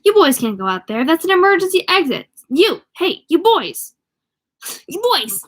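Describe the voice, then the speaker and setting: Indignant male store clerk in a storybook, read by a woman: raised pitch, flustered, scolding shouts. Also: sing-song, normal speed. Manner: exclaiming, scolding.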